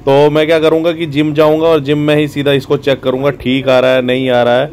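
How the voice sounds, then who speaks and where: A man's voice: a little high, little pitch movement, a little fast, a little mellow, slight background noise. A man vlogging casually as he rides a motorbike outdoors, rambling and thinking aloud, recorded on a phone mounted on the bike. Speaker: motovlogger. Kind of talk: casual vlog chat. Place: outdoors riding a motorbike on the road.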